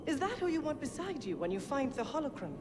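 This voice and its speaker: An actress playing a bitter, manipulative villainess, a fallen warrior, with a deliberate, taunting way of talking. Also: female voice, high voice, sing-song, noisy background. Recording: loud music behind the voice.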